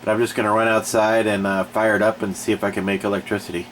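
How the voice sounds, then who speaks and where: A man's voice: lowish voice, little pitch movement, quick pace, noisy background. A man with a cold and a stuffy nose, chatting casually and a bit aggravated as he reviews and fixes a generator in his garage. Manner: folksy, rambling, aggravated. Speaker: home DIY reviewer. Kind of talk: casual product review and repair. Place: garage indoors. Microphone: camera mic, off-screen.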